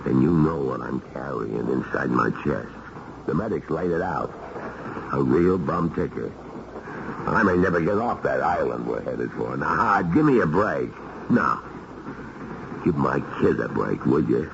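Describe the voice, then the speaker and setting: Very deep, gravelly American gangster. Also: male voice, lively intonation, a little slow, full character voice. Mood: pleading. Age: middle-aged. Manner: tough underworld slang, ungrammatical. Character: gruff, wheedling gangster father, ailing convict. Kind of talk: 1970s radio drama acting. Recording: noisy, dull old radio recording.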